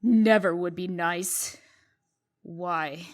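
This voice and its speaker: A woman playing a brisk, exasperated fairy-tale princess turned official in a comic dub, curt and guarded. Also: fairly low pitch, steady tone. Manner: curt, guarded, sharp-tongued.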